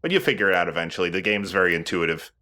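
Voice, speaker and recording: A man's voice: deep voice, expressive, rapid-fire, sharp tone. A man giving casual gaming commentary as a let's play host, explaining things in a self-deprecating way.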